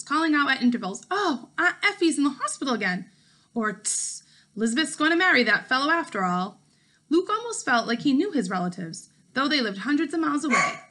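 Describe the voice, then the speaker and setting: Female teacher, 30s. Bright, forward voice sitting a little high, classroom read-aloud delivery. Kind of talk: read-aloud storytelling with casual class talk. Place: home kitchen, indoors.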